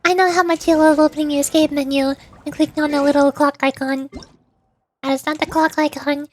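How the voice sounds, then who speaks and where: A woman's voice: high voice, flat delivery, brisk, a little hiss or hum. A woman streaming a game, switching between playful commentary and reading dialogue aloud in character. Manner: reads dialogue aloud in character with joking asides. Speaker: VTuber streamer.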